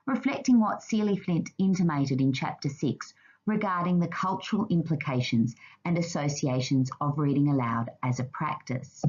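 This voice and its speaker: A woman narrating a scripted slide presentation in a formal, measured reading manner. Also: deep voice, darkish tone, slightly rough, highs cut off.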